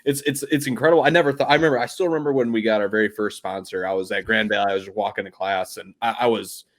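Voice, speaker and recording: A man's voice: lowish voice, fairly even intonation, quick pace, fairly bright tone. A young man co-hosting a podcast in casual chat. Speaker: podcast co-host.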